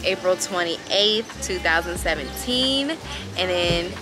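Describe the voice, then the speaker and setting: A young woman chatting casually to her phone camera at arm's length while vlogging outdoors at a sunny resort, bubbly and quick to laugh. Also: lowish voice, animated, music in the background, rough recording. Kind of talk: casual travel vlog chat. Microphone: phone camera held at arm's length.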